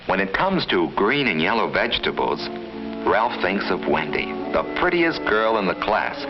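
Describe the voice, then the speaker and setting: A man with a fairly low voice reads scripted narration for a vintage 1950s classroom film. He instructs like a storyteller talking to children, with animated rises and falls. Music plays clearly behind him on the dull old soundtrack. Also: normal speed. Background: background music.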